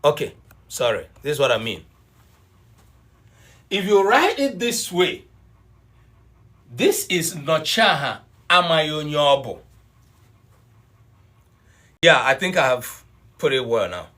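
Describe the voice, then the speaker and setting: Animated man teaching a class. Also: on the high side, slow, long pauses. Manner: explanatory, repeats points, greets students by name. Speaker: Igbo language teacher. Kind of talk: live online class lecture.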